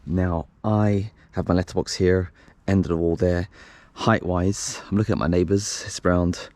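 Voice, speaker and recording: Very low-voiced man, casual DIY host. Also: fairly even intonation, normal speed, a little mellow, no echo. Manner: informal, thinking aloud. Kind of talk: casual hands-on installation walkthrough. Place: outdoors by a concrete wall.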